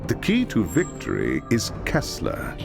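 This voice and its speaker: A man's voice: fairly low pitch, huge pitch swings, measured pace, gravelly, over music, full character voice. An actor playing a stern male leader in a fantasy video game, briefing a warrior with solemn authority. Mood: urgent, resolute.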